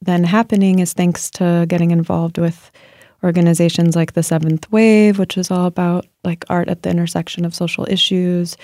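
A woman's voice: on the low side, dark tone, no room sound, clean studio sound. A woman writer answering interview questions in a thoughtful, searching way, with many fillers and restarts. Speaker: writer and guest.

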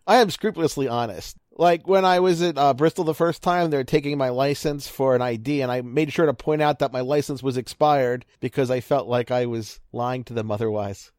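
A man's voice: medium pitch, fairly quick, few pauses, darkish tone, no echo, clean studio sound. A man in his thirties with a nasal voice, a podcast guest telling rambling, self-deprecating stories. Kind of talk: casual chat.

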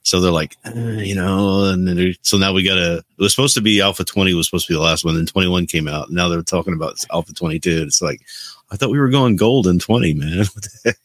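A man's voice: low voice, fast. A man on a podcast panel talking casually about video games.

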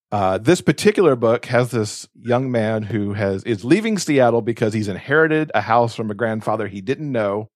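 A man's voice: medium pitch, dry recording. Man gushing casually with a dry, close sound. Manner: effusive, emphatic. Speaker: podcast co-host recommending books. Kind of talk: casual enthusiastic book chat.

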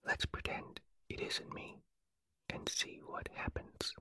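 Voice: slow pace, bright, forceful tone, dry recording. A storyteller voicing a wry, evasive male rabbit from a children's storybook in a dry-humored whisper.

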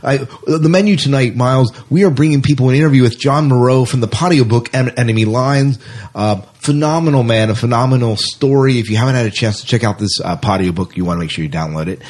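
A man's voice: mid-range voice, fast talker, darkish tone. A man hosting a science fiction podcast, talking enthusiastically and rambling a little with fillers. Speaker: podcast host. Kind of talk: casual podcast chat.

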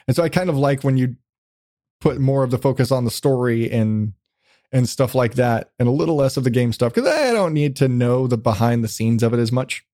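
A man's voice: mid-range voice, brisk, warm tone, no echo, studio quality. A man hosting a podcast in a casual, rambling, sweary chat style. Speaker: podcast host.

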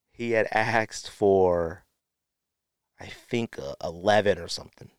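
A man's voice: lowish voice, clear, resonant tone, no echo, studio quality. An American man hosting a podcast, telling stories casually in slangy speech and acting out what people said. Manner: animated storyteller acting out quotes, slangy. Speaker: podcast host. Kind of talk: casual storytelling podcast talk.

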